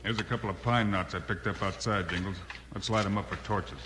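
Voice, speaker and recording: An actor playing a steady, decisive western lawman with an American accent in a 1950s radio drama. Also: on the low side, fairly quick, close to an everyday voice. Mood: resolute. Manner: plain, commanding, level-headed. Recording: reverberant, crackly 1950s radio recording.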